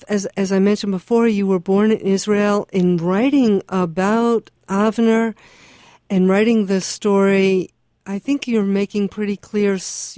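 Warm mellow lady interviewer, earnest personal questions, dry close sound. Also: medium pitch, no echo. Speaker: radio interviewer.

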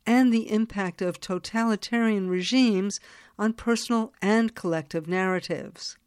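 A woman radio show host reading a scripted introduction in a measured, formal way, then asking interview questions. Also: medium pitch, a little mellow, no room sound, clean studio sound.

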